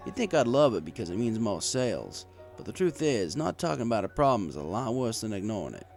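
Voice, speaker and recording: Male audiobook narrator, low pitch, animated delivery, first-person bartender storytelling over music. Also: fast talker, creaky. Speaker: audiobook reader.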